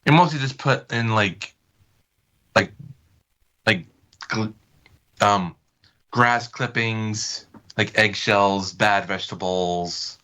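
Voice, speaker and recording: A man chatting casually as a podcast guest, with halting, hesitant phrasing. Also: on the low side, sharp tone. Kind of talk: casual chat.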